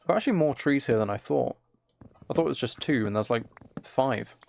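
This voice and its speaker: Tired, quiet, gravelly man. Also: medium pitch, animated, fairly quick, a little hiss or hum. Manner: quiet, mumbling, rambling. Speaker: video game livestreamer. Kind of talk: casual gaming stream chat, ASMR.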